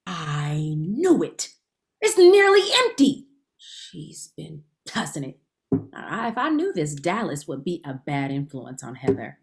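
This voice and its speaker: A woman's voice: low-pitched, very animated, slow, creaky, full character voice. A woman playing a sassy, blunt, man-hungry best friend in a comedy table read, brassy and emphatic. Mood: judgy.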